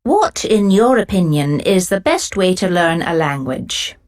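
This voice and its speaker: A woman reading scripted speaking-test questions as an examiner, clear and evenly paced. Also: on the low side, big pitch swings, measured pace.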